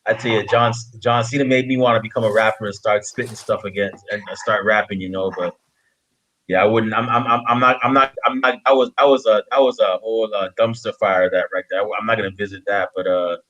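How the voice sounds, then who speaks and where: A man from Guyana hosting a casual interview, speaking haltingly and often repeating phrases as he goes. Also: lowish voice, flat delivery, fairly bright tone, lo-fi sound. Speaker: podcast interviewer. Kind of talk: casual chat.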